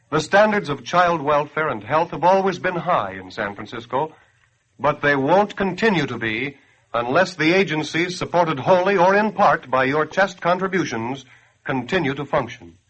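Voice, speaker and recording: Earnest 1940s American radio announcer, husky, higher-pitched man. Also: a bit bright. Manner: earnest, declamatory, persuasive. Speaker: radio announcer and campaign spokesman. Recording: dull grainy 1940s radio broadcast.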